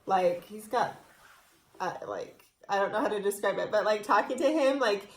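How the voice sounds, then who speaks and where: A woman's voice: mid-range voice, expressive, normal speed. A young adult woman co-hosting a fan vlog, reading and answering questions in a casual, playful, teasing way, recorded indoors at about arm's length. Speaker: co-host of a theme park fan vlog. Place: indoors, small room in front of a backdrop. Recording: echoey indoor room.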